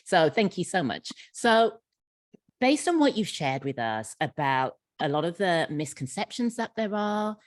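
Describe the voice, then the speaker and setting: Female moderator, encouraging, slightly low-pitched; dry, close sound. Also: big pitch swings, unhurried, no echo. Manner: warm, encouraging, repeats words when starting sentences. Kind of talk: hosting a panel conversation. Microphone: video call.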